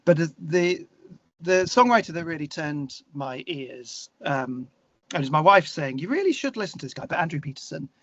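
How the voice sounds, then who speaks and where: A middle-aged man speaking earnestly and haltingly as an interview guest, reflecting in a conversational way with emphatic stresses. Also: fairly high pitch, expressive, a bit bright. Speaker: guest, singer-songwriter. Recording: slightly dull, lo-fi conversation audio.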